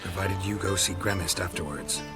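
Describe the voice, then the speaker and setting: An actor playing a stoic, dry-witted monster hunter in a video game, laconic and sardonic. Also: male voice, low voice, fairly even intonation, moderate pace, over music, close to an everyday voice. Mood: deadpan. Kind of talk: scripted video game acting.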